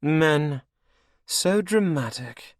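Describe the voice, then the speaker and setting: A man's voice: a little high, sing-song, unhurried, no echo, clean studio sound, pitched above the performer's own voice. A man voicing a cruel, scheming vampire queen who speaks in a mocking, sing-song way. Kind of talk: audiobook character voice.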